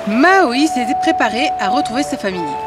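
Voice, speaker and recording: A woman's voice: on the high side, animated, moderate pace. A woman narrating a scripted fairy tale as a storyteller. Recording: music behind the voice.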